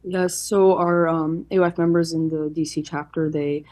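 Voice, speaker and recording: A woman's voice: deep voice, steady tone, moderate pace, darkish tone. A woman answering interview questions as an organization's representative in an earnest, explanatory, formal way. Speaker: youth organization chairperson, interview guest.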